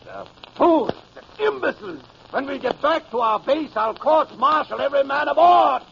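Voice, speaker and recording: An actor playing an arrogant, short-tempered German submarine captain in a radio drama, imperious and contemptuous. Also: male voice, very high-pitched, lively intonation, normal speed, vocal fry, heavily acted. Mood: furious, scornful. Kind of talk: 1950s radio drama acting. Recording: noisy, muffled vintage radio recording.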